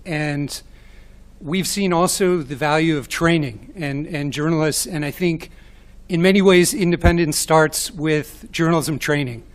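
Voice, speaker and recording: Man with a New York accent. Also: fairly high pitch. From New York City. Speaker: panelist, media organization director. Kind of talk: panel talk.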